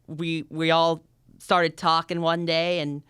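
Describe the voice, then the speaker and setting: A man's voice: a little high, flat delivery, slow, pushed and bright, smooth, dry recording. A young man, a podcast guest in a university studio, chatting in an upbeat, outgoing way with frequent restarts. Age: young adult. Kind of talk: casual interview chat.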